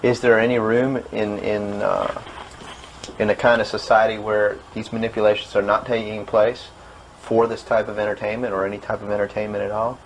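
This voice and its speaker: A man asking questions as an interviewer in a measured, hesitant manner. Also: lowish voice, flat delivery, a little hiss or hum, poor audio.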